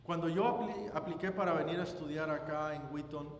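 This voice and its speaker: A man with a Guatemalan Spanish accent preaches a sermon, phrasing it deliberately and emphatically and repeating phrases for weight. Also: on the high side. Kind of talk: sermon with scripture reading and personal anecdote. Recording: roomy echoing hall, background noise.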